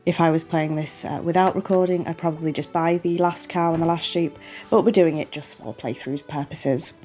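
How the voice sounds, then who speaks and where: A woman's voice: low-pitched, steady tone, normal speed, dark tone, soft background music. Sniffly woman commentating a game. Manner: apologetic, chatty, talks the viewer through each step. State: sniffly and losing her voice. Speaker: gaming channel host. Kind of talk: relaxed let's-play commentary.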